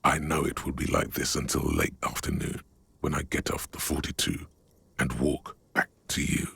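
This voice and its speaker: A man acting as a brooding inner-voice narrator in a game, grave and intimate, with a husky, solemn delivery. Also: extremely low pitch, steady tone, normal speed, fairly bright tone, no room sound, heavily acted. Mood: melancholy, hushed. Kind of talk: scripted dramatic game narration. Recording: music under the voice.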